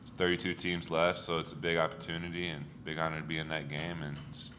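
A man's voice: rumbling low, monotone, quick pace. A young man, a college basketball player, giving short, understated answers at a press conference. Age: young adult. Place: press conference room with backdrop. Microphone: table mic. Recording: noticeable room noise.

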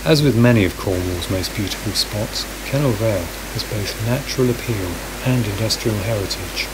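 Low-voiced man narrating calmly. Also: very noisy. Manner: calm, measured delivery. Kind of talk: scripted documentary narration. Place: voice-over, recorded apart from the woodland footage.

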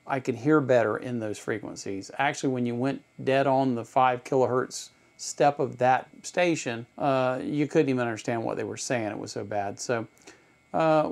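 Middle aged dude w gravelly voice, plain spoken, takes his time explaining stuff in his home workroom. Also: medium pitch. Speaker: electronics channel host. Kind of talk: casual product demonstration, explaining. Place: small home electronics workroom, indoors.

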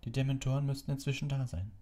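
A man's voice: medium pitch, quick pace, dark tone, voice pushed up, close to an everyday voice. A man voicing a fussy, patronising older male government minister in a storybook, condescending and placating. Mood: anxious.

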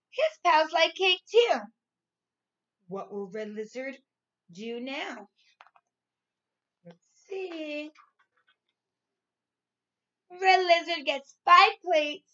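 A woman in her thirties, a primary school teacher reading and explaining to young children in an expressive, clearly enunciated way. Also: high voice, highs cut off. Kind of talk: read-aloud story and lesson for young children. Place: small furnished room at home, indoors.

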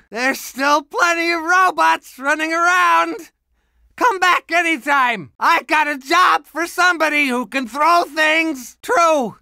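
An elderly, washed-up cartoon superhero for a video game. The voice is high and bright, with slow, befuddled delivery. He is forgetful and cranky, prone to grand exclamations. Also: gravelly, no echo, exaggerated voice. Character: doddering, forgetful, cranky old retired superhero.

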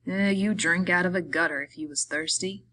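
A woman playing a small, irritable, weary male migrant worker in an audiobook, scolding in rough, rustic speech. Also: lowish voice, normal speed, sharp tone, a little hiss or hum. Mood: grudging.

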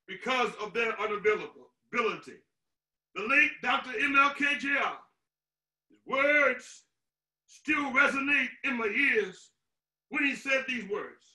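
A man in his sixties preaching a sermon as a pastor, emphatic and declamatory, with deliberate pauses, in a small room at home. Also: very high-pitched, bright, forceful tone. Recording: roomy echo, distant microphone.

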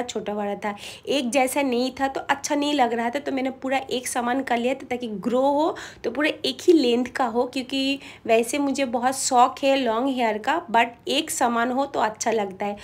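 A woman in her twenties vlogging her day at home, chatty and homely, a little feverish and coughing. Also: on the high side. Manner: chatty and homely, mixing in English words. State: coughing a bit, slightly feverish. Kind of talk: casual chat to camera. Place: bedroom at home.

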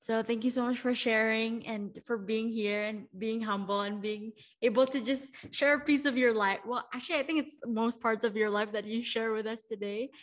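A woman's voice: on the high side, steady tone, normal speed, few pauses. A young woman hosting a podcast over a video call, effusive and full of "like" fillers. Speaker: podcast host. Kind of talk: casual interview chat. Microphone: headset and studio mic on video call.

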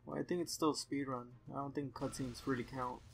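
A man's voice: mid-range voice, steady tone, fairly quick, vocal fry, slight background noise. A young man co-commentating a video game in casual chat. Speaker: gamer co-commentator.

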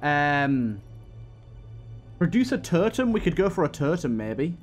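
High-voiced man giving casual game commentary. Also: big pitch swings, measured pace, faint music behind. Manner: talks to the game's characters, repeats phrases. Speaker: gaming host. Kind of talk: casual let's play commentary.

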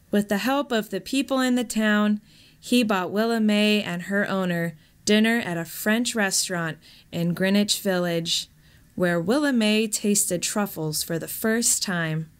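A woman reading a scripted story in a measured, expressive storytelling manner. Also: mid-range voice, smooth. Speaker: story reader, actor.

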